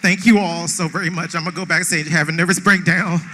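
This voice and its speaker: A man's voice: fairly high pitch, steady tone, fast talker, crowd noise, noisy background. A man giving an emotional, off-the-cuff acceptance speech on stage, speaking emphatically and repeating phrases for effect. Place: on stage at a theatre awards ceremony.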